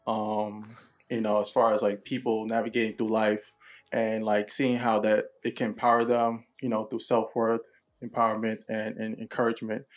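A young man from New York hosting a casual podcast, talking in a relaxed way with lots of ums. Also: fairly low pitch, normal speed. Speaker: podcast host.

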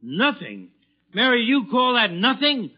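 Flustered sarcastic middle aged dad, voice jumps up high and swoops around, old muffled radio show. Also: male voice, wildly swooping pitch, unhurried, exaggerated voice. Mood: exasperated, indignant. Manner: theatrical, sputtering, put-upon. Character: exasperated, blustering, sarcastic suburban father. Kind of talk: 1940s radio comedy acting.

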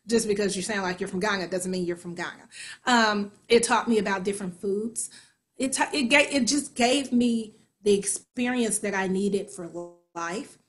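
A woman in her forties from Kansas City, a stage actor and singer, chatting as a guest and acting out her own thoughts as she tells stories. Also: medium pitch. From Kansas City, USA. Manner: lively storytelling, acts out her own inner voice. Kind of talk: conversational interview.

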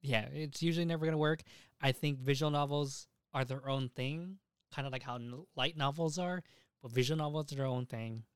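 A man's voice: medium pitch, fairly quick, smooth, no room sound, studio quality. A man hosting a casual podcast, talking enthusiastically and swearing freely as he goes. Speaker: podcast host.

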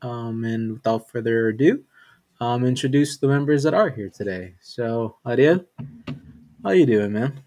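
A man hosting a casual sports podcast, talking in a relaxed way with lots of fillers and repeated words. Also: mid-range voice, normal speed. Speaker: podcast host.

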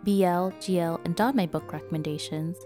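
A woman reading fiction aloud as a podfic narrator in an expressive, scripted storytelling style. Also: lowish voice, a little fast, over music.

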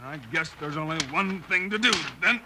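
A man's voice: fairly high pitch, moderate pace, close to an everyday voice. An actor playing a firm, plainspoken American lawman hero in an old radio Western. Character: steady, commanding young-sounding Western lawman hero. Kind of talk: 1950s old-time radio Western acting. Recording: old radio recording, faint noise.

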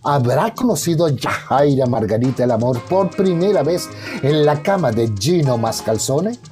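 A man narrating a radio soap opera in a dramatic, florid, suspenseful scripted style. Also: fairly high pitch.

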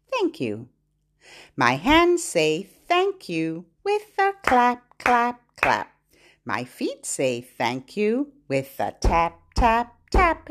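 Slow, lively-pitched woman hosting children's podcast. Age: middle-aged or older. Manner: expressive, instructional talk to parents and babies. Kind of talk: scripted, child-directed narration.

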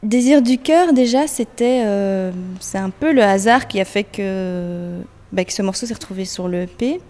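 A woman's voice: medium pitch, moderate pace, a little mellow, long reverb tail. A young woman singer-songwriter answering interview questions in a hesitant way, with many fillers and restarts. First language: French.

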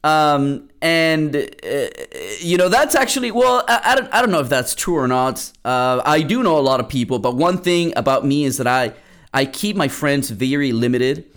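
A man's voice: fairly high pitch, expressive, normal speed, fairly bright tone, smooth. A young man from Peru hosting a podcast, telling a casual story with lots of restarts and fillers. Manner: rambling, many restarts and fillers. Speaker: podcast host.

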